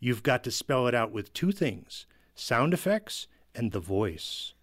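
A man with a medium-pitched voice talks as a guest on a panel. He explains things casually and with enthusiasm. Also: sing-song, measured pace. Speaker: podcast panelist.

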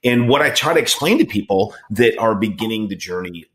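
A man's voice: on the low side, lively intonation, fairly quick, a bit bright. A man in his late forties hosting a casual interview, talking in an earnest, rambling way with frequent restarts. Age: 40s. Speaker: podcast host in recovery.

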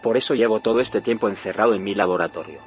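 An actor playing a grave, secretive scientist in an audio drama, solemn and explanatory. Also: male voice, on the low side, fast talker, dull audio, soft background music, lightly acted. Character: grave, secretive male scientist haunted by failed timelines. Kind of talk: audio fiction drama acting.

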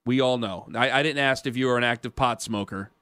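A man's voice: mid-range voice, a little fast, fairly bright tone, smooth, dry recording. A man in his thirties hosting a radio sports talk show, rambling in casual banter with self-mocking asides. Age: 30s. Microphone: studio mic.

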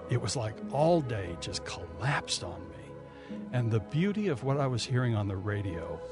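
An older American man speaking reflectively and conversationally in an interview, often halting and repeating words. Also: medium pitch, very animated. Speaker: radio news veteran interviewee. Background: music under the voice.

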